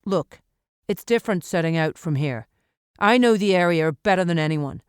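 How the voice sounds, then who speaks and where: A woman narrator voicing a resolute, calm mountain man in an audiobook, steady and reassuring. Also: lowish voice, normal speed, smooth, no echo, clean studio sound, natural voice. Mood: determined. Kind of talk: audiobook character acting.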